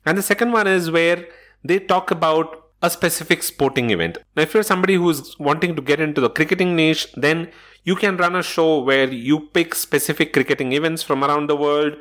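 A man's voice: on the high side, a little fast, fairly bright tone. A man hosting a solo podcast episode, explaining points in a methodical, instructional way from loose notes. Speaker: podcast host.

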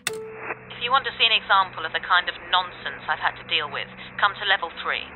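Casting: actor as fed-up, sneering man, slightly high, on a tinny, noisy played-back recording. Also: lively intonation, brisk. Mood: scornful. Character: scornful, exasperated man leaving recorded messages. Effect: recorded message filter. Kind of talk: audio comedy drama acting.

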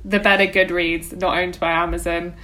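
A young woman with a slightly low-pitched voice, co-hosting a podcast. She talks about books in a casual, tentative way, peppering her sentences with "like". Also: level tone, normal speed, bright, forceful tone, a little hiss or hum, low-quality recording. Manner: informal, tentative, frequent "like". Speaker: podcast co-host. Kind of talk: casual book discussion.

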